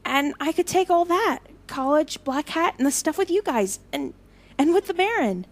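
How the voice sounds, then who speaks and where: Actress as young gifted heroine for audio drama: high-pitched, tentative, sincere and unsure of herself. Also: female voice, animated. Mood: tentative, earnest. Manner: halting, tentative. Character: unsure young woman with memory-taking powers, earnest.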